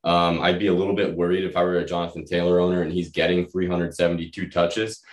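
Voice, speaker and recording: Male podcast co-host, young, very deep and a touch hoarse, casual sports analysis, recorded roomy and off-mic. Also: flat delivery, fast. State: slightly hoarse from feeling unwell. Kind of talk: casual fantasy football analysis. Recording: roomy echo, distant from mic.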